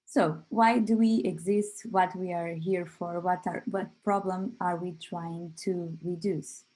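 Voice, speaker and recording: A woman presents over a video call, and her voice sits a little low and mellow. She pauses often on filler sounds between her phrases, and her delivery is steady and explanatory rather than polished. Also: poor audio. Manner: hesitant, frequent filler pauses. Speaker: company presenter. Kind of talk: online webinar presentation.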